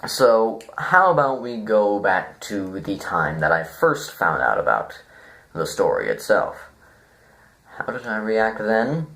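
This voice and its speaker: A man giving an informal, rambling critique to viewers, deadpan and self-deprecating, halting as he thinks aloud. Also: lowish voice, gravelly. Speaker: video reviewer.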